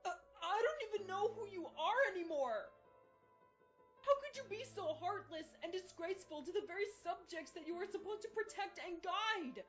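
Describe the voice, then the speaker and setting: A woman's voice: very high-pitched, animated, normal speed, soft background music. A woman playing a frightened but defiant young unicorn student with a shaky voice in a fan audio drama. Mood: horrified defiance.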